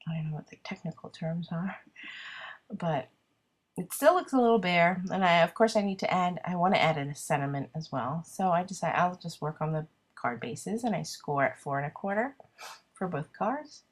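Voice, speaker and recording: A woman's voice: lowish voice, expressive, normal speed. A congested woman with a cold narrating her card-making casually, thinking aloud and poking fun at her own mess. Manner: chatty, self-deprecating, thinking aloud with many ums. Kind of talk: casual craft video narration.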